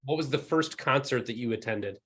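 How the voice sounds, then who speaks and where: A man's voice: medium pitch, brisk. Chatty male podcast host. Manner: friendly, chatty questioner, laughs easily. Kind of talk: casual interview chat.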